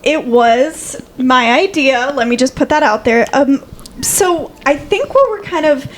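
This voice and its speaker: A woman's voice: sits high, lively intonation, a little slow, slight background noise. A woman co-hosting a podcast in a casual, chatty style. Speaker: podcast co-host. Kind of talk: casual chat.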